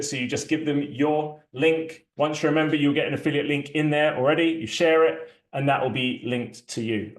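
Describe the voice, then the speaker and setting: A man hosting a community launch, talking casually through a shared screen, loose and chatty with many fillers and restarts. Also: fairly high pitch. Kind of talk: casual live walkthrough, screen sharing. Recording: echoey room sound.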